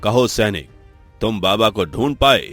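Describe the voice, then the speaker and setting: Deep-voiced, concerned cartoon king played by a man. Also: lively intonation, measured pace, a bit bright, no echo, faint music behind. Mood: reassuring, concerned. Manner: formal, earnest, dramatic. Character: concerned, commanding king and husband in cartoon fable. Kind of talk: Hindi cartoon story dialogue.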